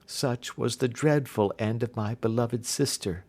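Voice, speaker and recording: A male audiobook narrator with a mid-pitched voice. He reads classic detective fiction as scripted narration, in a storyteller's style. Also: measured pace, darkish tone.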